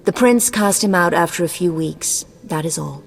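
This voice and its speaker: Haughty sorceress in echoing hall. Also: female voice, on the low side, moderate pace, creaky, soft background music. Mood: cool, evasive. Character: haughty, evasive, scheming sorceress. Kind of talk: video game dialogue acting. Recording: long echoing hall reverb.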